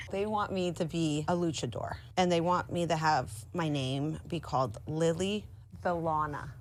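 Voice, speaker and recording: A woman's voice: sits low, unhurried. A woman talking casually, as on a prank phone call, recorded in a played video clip. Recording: faint background noise on clip audio.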